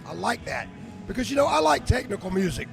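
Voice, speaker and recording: A man interviewing a band outdoors at a noisy event, talking loudly and chattily with music behind. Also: high voice, big pitch swings, fast, gravelly. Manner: loud, chatty, name-dropping. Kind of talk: casual interview.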